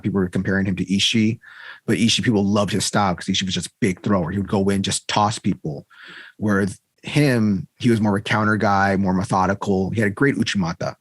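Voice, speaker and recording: A man's voice: lowish voice, husky, no echo. A man co-hosting a casual podcast, rambling through stories and laughing often. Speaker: podcast co-host. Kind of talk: casual chat.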